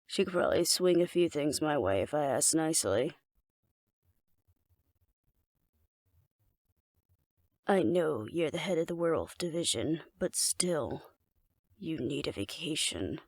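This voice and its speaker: Woman playing a flirty, gravelly alpha werewolf woman. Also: deep voice, sing-song, normal speed, a little mellow, no room sound, studio quality, exaggerated voice. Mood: concerned. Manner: teasing, coaxing, playfully domineering. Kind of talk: intimate scripted audio roleplay addressed to the listener.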